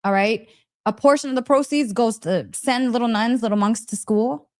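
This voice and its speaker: A woman with a fairly high voice talks as a spiritual teacher on a casual live stream. She is gushing and emphatic, often repeating phrases for weight. She speaks straight to her listeners, checking in with little questions as she goes. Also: normal speed, a little mellow. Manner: chatty, direct address with frequent check-in questions. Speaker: host of a live story session, spiritual teacher.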